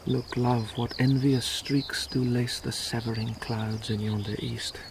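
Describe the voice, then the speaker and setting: An actor playing a tender, wistful young lover with measured poetic delivery in a radio Shakespeare drama. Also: male voice, medium pitch, level tone, lightly acted. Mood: tender, resigned. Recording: clear outdoor noise with birds and insects.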